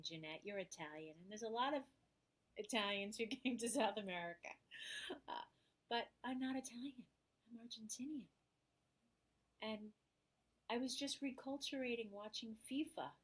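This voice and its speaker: Middle-aged woman, New York accent, animated storyteller, acts out quoted voices, interview guest. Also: fairly high pitch. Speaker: guest, therapist. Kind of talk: emotional personal storytelling.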